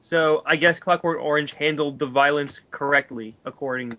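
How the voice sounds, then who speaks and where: A man chatting casually and debating films on a voice call, with an animated, exasperated way of reacting. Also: on the high side, normal speed. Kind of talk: casual chat, film debate on a group voice call.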